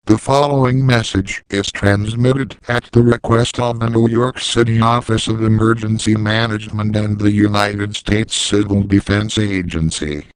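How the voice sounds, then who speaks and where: A man playing a calm, stern 1980s civil defense announcer, reading a formal emergency message in a measured, deadpan, official manner. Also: on the low side, clean tone, no echo, lightly acted, rough recording. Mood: grave, urgent.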